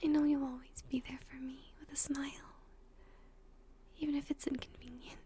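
A woman playing a tender, emotional young girlfriend in an intimate roleplay audio drama, speaking softly and breathily, often in whispers, with affection. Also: sits high, flat delivery, moderate pace, a little hiss or hum, close to an everyday voice. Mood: hesitant, tender confession.

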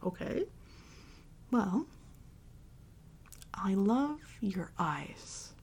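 A woman playing a tender, devoted girlfriend and mommy dom in an intimate ASMR roleplay, breathy and often whispering as she soothes her partner. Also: mid-range voice, big pitch swings, exaggerated voice. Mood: adoring. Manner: soft, soothing, slow and affectionate.

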